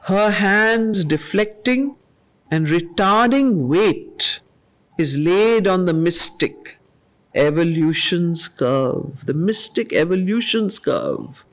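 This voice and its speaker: A woman leads a study circle, reciting poetry at a very unhurried pace. She repeats key lines with weight before unpacking their spiritual meaning. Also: on the low side, animated. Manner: slow, measured recitation, repeating lines for emphasis. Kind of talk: reading poetry aloud with spiritual commentary. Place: meeting room of a study group.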